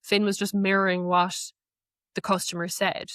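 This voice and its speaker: A woman explains a piece of software in a conversational walkthrough. The sound is dry, with very little room around her voice. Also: medium pitch, level tone, normal speed, dry recording. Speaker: guest, AI knowledge manager.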